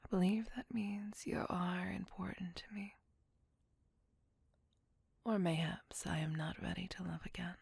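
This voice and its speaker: A woman playing a centuries-old, possessive and tormented female vampire in an intimate ASMR roleplay, speaking in a breathy whisper with formal, archaic, tenderly menacing delivery. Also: fairly low pitch, steady tone, measured pace, long pauses, darkish tone, dry recording, full character voice. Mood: remorseful, tender.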